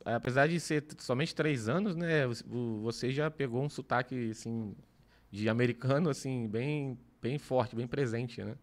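A man's voice: medium pitch, normal speed. A man hosts a podcast, asking chatty, stammering questions. He speaks into a studio microphone in a small studio. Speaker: podcast host. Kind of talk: casual interview questions. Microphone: studio microphone with headphones.

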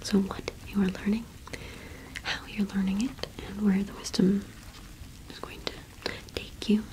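A young woman playing a kind, welcoming cottage witch in a whispered ASMR roleplay, speaking softly and reassuringly without hurry. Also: mid-range voice, level tone, lightly acted, low-quality recording. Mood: gentle, explanatory. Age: young adult. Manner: soft, unhurried, gently reassuring. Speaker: ASMR performer.

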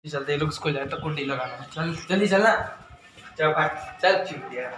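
A hoarse teenage boy with a sore throat, chatting casually to his viewers in a home vlog filmed on a phone held at arm's length. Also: on the high side, normal speed, noisy background. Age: teens. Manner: chatty, filler-heavy, addresses viewers as "bhai" and "guys". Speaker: vlogger. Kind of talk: casual day-in-the-life vlog. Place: small home rooms indoors. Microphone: phone camera held at arm's length.

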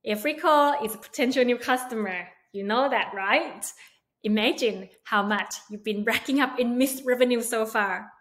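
Higher-voiced woman presenting to camera. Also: normal speed, fairly bright tone. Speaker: company presenter demonstrating a product. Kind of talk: friendly promotional presentation. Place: indoor home room.

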